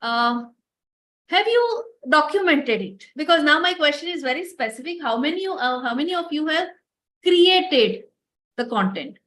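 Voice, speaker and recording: Brief: high-pitched woman, earnest online workshop lecturer on a video call. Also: lively intonation, normal speed, fairly bright tone. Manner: earnest, repeats words, addresses participants directly.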